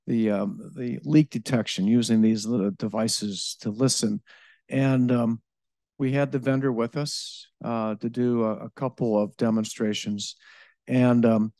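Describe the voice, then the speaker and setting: Mellow-voiced man answering questions with halting restarts. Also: mid-range voice, no room sound. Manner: halting, with many fillers, restarts and a tag "okay?". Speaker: utility innovation director answering audience questions. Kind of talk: live presentation Q&A. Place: live event with audience.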